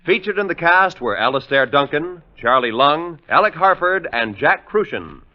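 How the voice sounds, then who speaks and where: A man's voice: fairly high pitch, expressive, normal speed. An American man announcing scripted credits and promos in a polished 1950s network radio style with dramatic emphasis. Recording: muffled 1950s radio recording, faint music.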